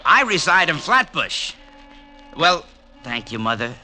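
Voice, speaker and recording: A man's voice: on the high side, sing-song, measured pace, pushed and bright, soft background music, full character voice. An actor playing a pompous, over-formal male thug in an old-time radio crime drama. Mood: haughty, scheming. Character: ugly-looking male thug with pompous, prissy diction. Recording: noisy vintage radio recording.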